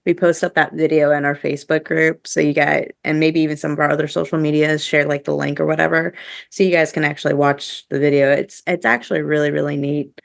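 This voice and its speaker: A woman with a low, noticeably creaky voice chats as a podcast host. She is enthusiastic and informal, and her talk is full of "like". The sound is dry and close. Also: flat delivery, fast, no echo. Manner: chatty, enthusiastic, heavy use of "like". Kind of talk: casual podcast review chat.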